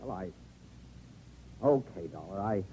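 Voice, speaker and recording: An American man playing a nervous, defensive newspaper editor in a 1950s radio drama, halting and protesting. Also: low-pitched, animated, warm tone. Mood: pleading, rattled. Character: nervous, lovelorn male newspaper editor, defensive under questioning. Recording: dull, faintly noisy old radio recording.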